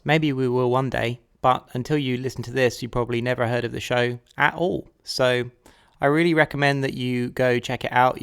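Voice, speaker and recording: Male podcast host, crisp clean voice, mid-range, reading scripted personal narration. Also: mid-range voice.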